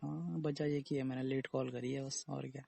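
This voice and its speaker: A man's voice: mid-range voice, level tone, moderate pace, gravelly, dark tone, husky, dry recording. A young man playing a teasing, evasive boyfriend in a casual phone-call romance drama. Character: teasing young boyfriend dodging blame over a pregnancy scare.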